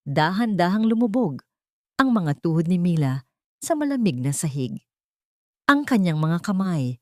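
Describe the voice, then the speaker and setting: Low-voiced woman narrating drama, dry studio sound. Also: darkish tone, no room sound. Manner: solemn, melodramatic. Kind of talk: dramatic scripted story narration.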